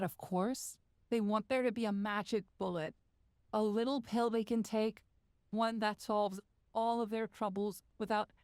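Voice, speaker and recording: A woman playing a calm, quietly controlling therapist and hypnotist in a measured, coaxing audio roleplay with deliberate pauses. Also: a little high, no echo, clean studio sound. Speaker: therapist character.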